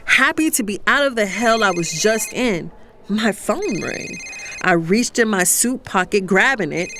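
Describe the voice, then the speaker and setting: An actress playing a conflicted, lovestruck professional woman, telling her story in an expressive, confiding, exasperated way for an audio drama. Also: mid-range voice, slight background noise. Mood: shocked. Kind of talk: dramatized first-person audio fiction narration.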